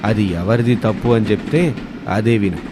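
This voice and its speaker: A man voicing a patient, reasoning middle-aged father-in-law in an animated moral story, gently chiding his wife. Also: on the low side, moderate pace, noisy background, lightly acted.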